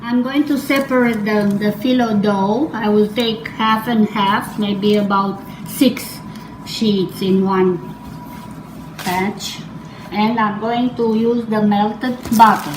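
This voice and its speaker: A woman's voice: mid-range voice, fairly even intonation, takes it slowly. A middle-aged woman with a Romanian accent, demonstrating a family recipe at home, talking unhurriedly with fillers and small self-corrections. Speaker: cooking demonstrator. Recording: echoey kitchen with background noise.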